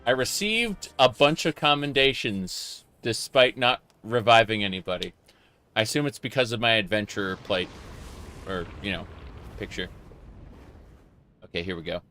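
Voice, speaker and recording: A man's voice: fairly low pitch, lively intonation, normal speed, long pauses, bright, forceful tone. A man doing casual live gameplay commentary as a streamer, reacting off the cuff. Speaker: game streamer. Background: game music.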